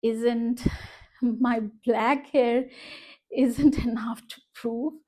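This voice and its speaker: Indian woman vlogger, slow and level-voiced, hesitant and reflective, speaking from her room. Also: a little high, fairly even intonation, darkish tone. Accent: Indian English. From Northeast India. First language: Bengali. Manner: reflective, hesitant, many fillers. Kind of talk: personal vlog monologue.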